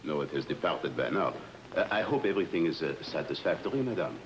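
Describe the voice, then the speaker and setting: A deep, fawning hotel manager. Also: male voice, very animated, slight background noise, low-quality recording. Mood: obsequious. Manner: halting, hesitant, fawning speech with searched-for words. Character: fat, obsequious male hotel manager on a tropical island. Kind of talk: old-time radio drama acting. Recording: old radio recording with music.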